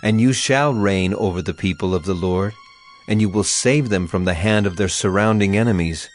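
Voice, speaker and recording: Man playing deep-voiced Samuel, authoritative prophet. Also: normal speed, a little hiss or hum, close to an everyday voice. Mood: grave, assured. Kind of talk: dramatized audio Bible acting.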